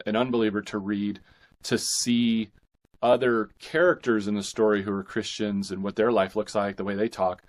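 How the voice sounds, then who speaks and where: A man speaking as an author guest in a casual interview, haltingly, thinking aloud and repeating phrases as he goes. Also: fairly low pitch. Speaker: podcast guest, fiction author.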